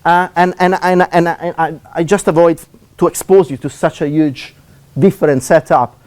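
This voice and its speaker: A man from Italy, speaking English with an Italian accent, giving a seminar talk as a university lecturer; he talks fast with many fillers and restarts. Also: on the high side, fairly even intonation, a little mellow.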